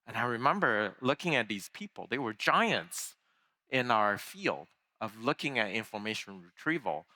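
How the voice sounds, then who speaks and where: A man's voice: fairly low pitch, big pitch swings, moderate pace, pushed and bright. A man from Taiwan giving a conference keynote in a conversational way, with fillers and restarts. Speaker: keynote speaker. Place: large conference hall.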